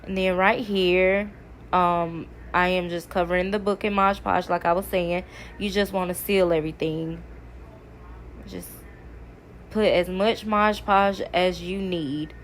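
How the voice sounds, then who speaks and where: A woman's voice: fairly low pitch, fairly even intonation, a little slow. A woman, stuffy from a cold, chatting casually through a DIY craft tutorial. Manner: chatty, addresses viewers as y'all. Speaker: craft video creator. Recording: faint background noise.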